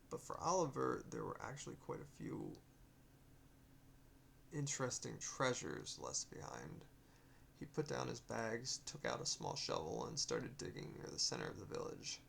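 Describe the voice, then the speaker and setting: Man reading aloud, very creaky voice. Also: medium pitch, very animated, a bit bright, slight background noise. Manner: halting, self-correcting, muttering asides. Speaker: author reading own story. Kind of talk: read-aloud narration with off-script proofreading asides.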